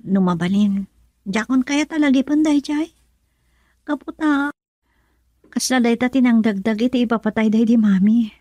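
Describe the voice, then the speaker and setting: A woman's voice: a little high, normal speed, pauses often, mellow, no room sound, close to an everyday voice. A woman playing a meek, wistful young wife and trained nurse in an Ilocano radio drama.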